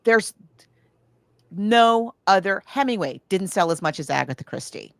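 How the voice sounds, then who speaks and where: A woman's voice: mid-range voice, unhurried, creaky, no echo, clean studio sound. A woman co-hosting a podcast, chatting casually in a rambling storyteller's way and acting out quotes as she goes. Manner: rambling, animated storyteller who acts out quotes. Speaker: podcast co-host. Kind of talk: casual chat about books and films.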